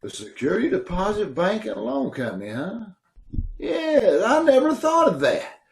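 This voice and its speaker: A man's voice: high-pitched, very animated, moderate pace, a little hiss or hum. A man playing a broke, wheedling brother. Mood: pleading. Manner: wheedling, anxious. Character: pleading broke younger brother. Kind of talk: 1930s radio comedy acting. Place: home over a video call.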